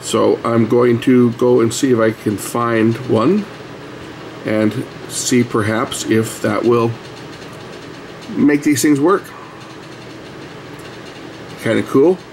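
A man's voice: mid-range voice, slow, pauses often, a little mellow. Man explaining casually over background noise. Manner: explanatory, conversational. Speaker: repair video host. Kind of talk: casual hands-on repair commentary. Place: indoor workbench. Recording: noisy room recording.

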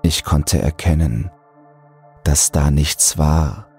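Very deep, slow man narrating horror. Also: vocal fry, a little mellow, breathy edge, soft background music. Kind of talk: scripted audiobook narration.

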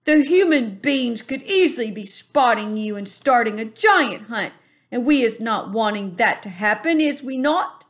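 A woman voicing a huge, menacing male giant who taunts in a booming voice. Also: expressive, a little slow. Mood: taunting.

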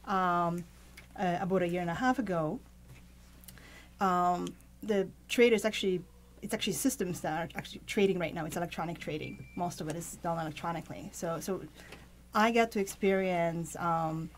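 Methodical woman from Poland, lowish voice. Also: slight background noise. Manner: methodical, halting with fillers. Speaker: guest, tech co-founder. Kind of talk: radio interview. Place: small padded radio studio. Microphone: studio mic with pop filter.

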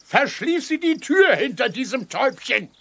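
A man's voice: extremely high pitch, very animated, measured pace, gravelly, pushed and bright, full character voice. A man playing a small, round, pompous and amorous sultan in a children's radio play. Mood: smug, commanding.

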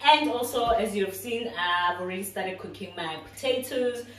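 A woman's voice: mid-range voice, moderate pace, a bit bright. A young South African woman casually explaining each step as she cooks in her home kitchen. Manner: chatty, step-by-step explaining to viewers. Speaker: cooking vlogger. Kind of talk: casual cooking walkthrough. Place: small home kitchen, indoors. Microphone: camera a few feet away. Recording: echoey kitchen, distant mic.

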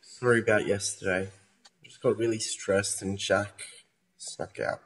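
An actor playing a troubled murder suspect with two personalities, brooding and erratic, in a modern radio play. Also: male voice, fairly low pitch, little pitch movement, unhurried, fairly bright tone, breathy edge, exaggerated voice. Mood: uneasy, confessing.

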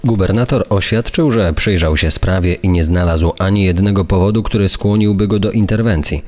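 A man's voice: sits low, moderate pace, darkish tone. A man reading scripted documentary narration in a formal, factual way.

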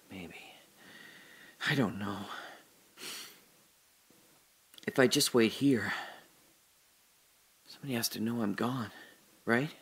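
An actor playing a man trapped in a dark, airless space, muttering to himself haltingly and drifting into memories in an audio drama monologue. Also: on the low side, gravelly, slightly rough, exaggerated voice. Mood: anxious.

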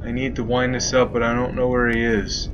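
A man's voice: medium pitch, completely flat delivery, brisk, dull audio, over music. A young man doing rambling, joking commentary while playing a horror game. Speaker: gaming youtuber. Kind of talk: let's play commentary.

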